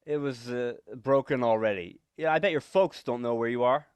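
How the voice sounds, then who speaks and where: A man plays a shifty husband in an old-time radio thriller. His mid-pitched voice is dry and close. He stammers and repeats himself, evasive and nervous, with menace underneath. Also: normal speed, a little mellow, clear, resonant tone, dry recording, lightly acted. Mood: nervous, secretive. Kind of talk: 1940s radio suspense acting.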